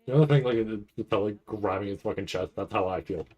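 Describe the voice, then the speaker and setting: A man giving casual live reaction commentary, nervous and rambling, halting and repeating his words as he goes. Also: on the low side, darkish tone, soft background music. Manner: anxious, nervous anticipation. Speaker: anime commentator reacting to a livestream event.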